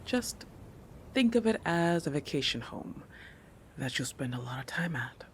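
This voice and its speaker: A woman playing a playful, possessive, territorial shark girl in an intimate roleplay audio, teasing and self-assured, with breathy asides that drop into whispers. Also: deep voice, sing-song, measured pace, a little hiss or hum.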